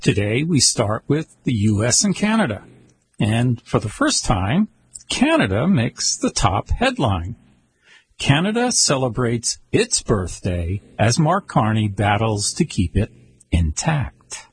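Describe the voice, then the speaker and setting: A man reading world news aloud at a slow, halting pace, stumbling over words and correcting himself. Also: medium pitch, sing-song, a little hiss or hum. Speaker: news reader.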